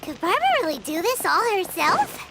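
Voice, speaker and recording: Game role: little childlike fairy sidekick, chirpy, squeaky-high female voice. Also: big pitch swings, brisk, heavy background noise, heavily acted. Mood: chirpy, musing. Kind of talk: video game voice acting. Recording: loud game music over the voice. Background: loud game music.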